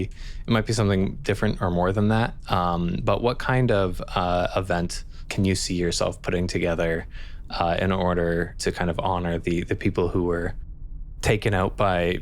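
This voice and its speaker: A man narrating an improvised tabletop game as game master, halting and thinking aloud as he repeats words. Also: sits low, fairly even intonation.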